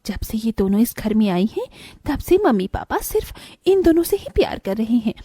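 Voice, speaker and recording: Lady doing a mean bossy big stepsister for a cartoon story, jealous, sneery, high voice, super lively. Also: moderate pace, breathy edge, dry recording, studio quality. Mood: resentful. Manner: sneering, scolding, imperious. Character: spiteful, jealous, bossy young elder stepsister. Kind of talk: cartoon bedtime story voice acting.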